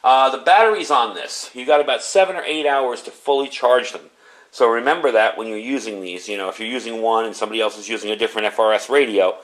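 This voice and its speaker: A man's voice: mid-range voice, a bit bright. A man giving a casual, unscripted product review in a chatty, conversational way.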